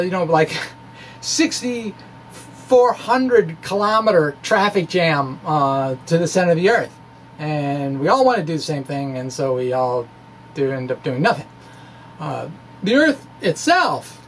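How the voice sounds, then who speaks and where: A middle-aged man doing a dramatic reading of a science blog, halting and wry, with quirky asides and stammered restarts, in a home basement. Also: sits high, a little hiss or hum, low-quality recording. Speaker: amateur physicist presenting a blog.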